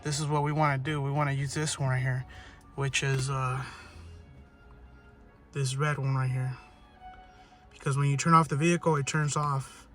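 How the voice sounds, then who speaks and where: A man's voice: mid-range voice, level tone, moderate pace, long pauses, a bit bright, faint music behind. A man narrating a casual hands-on tutorial, informal and thinking aloud as he works. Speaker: how-to video host.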